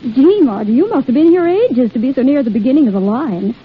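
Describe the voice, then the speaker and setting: High-voiced gossipy girl, old radio. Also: expressive, brisk, breathy edge. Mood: gossipy, excited. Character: chatty, excitable gossiping young moviegoing girl. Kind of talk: 1930s radio advertisement skit. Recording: tinny old radio recording.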